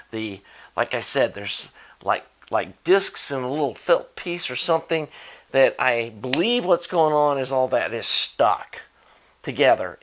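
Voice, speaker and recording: A man's voice: medium pitch, a bit bright. A man in his sixties talking casually and informally as he rambles through an electronics hobby vlog. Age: 60s. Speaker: electronics hobbyist and channel host. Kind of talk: casual unscripted vlog talk.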